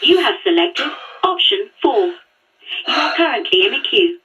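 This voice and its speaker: A woman's voice: sits high, big pitch swings, pushed and bright, exaggerated voice. A woman playing a polished, impersonal automated hotline announcer, courteous and formulaic, in an audio drama. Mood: polite, impersonal. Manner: courteous, formulaic, measured phrasing. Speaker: recorded phone menu voice. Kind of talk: scripted corporate announcement. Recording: dull, distant phone-line playback.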